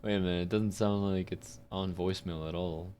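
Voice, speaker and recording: A man's voice: low voice, fairly even intonation, fairly quick, warm tone, clean tone. A man who works as a voice actor, chatting casually and self-mockingly with some swearing as he records on a livestream. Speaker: voice actor streamer.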